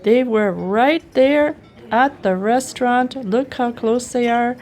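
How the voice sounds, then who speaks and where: A woman's voice: fairly high pitch, takes it slowly, darkish tone, faint music behind. A woman narrating her personal travel vlog in an enthusiastic, appreciative way. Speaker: travel vlogger.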